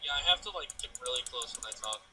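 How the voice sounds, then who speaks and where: A man's voice: medium pitch, expressive, quick pace, bright, forceful tone. Young guy ribbing his buddy, sounds far from the mic, noisy line. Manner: teasing, rambling. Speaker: gaming friend. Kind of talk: casual gaming chat. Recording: distant mic with clear noise.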